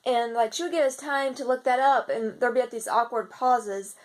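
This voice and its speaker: A young woman with a slightly raspy voice, chatting in a casual video diary and rambling with lots of fillers and restarts. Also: fairly high pitch, fairly even intonation, moderate pace. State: slightly raspy from seasonal congestion. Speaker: vlogger.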